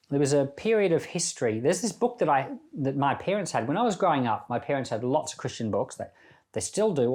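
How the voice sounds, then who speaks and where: A male pastor speaks in a middle-pitched voice on a Bible podcast. He reads scripture and talks through it in a conversational, reflective way, like informal devotional teaching. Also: darkish tone.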